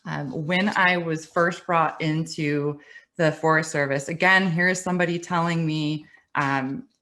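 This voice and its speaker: A woman's voice: sits low, fairly even intonation, unhurried, bright, forceful tone. A middle-aged woman giving a webinar talk, conversational and storytelling, with some restarts and light laughs. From United States. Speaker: webinar speaker. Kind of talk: presentation talk. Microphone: webinar computer mic.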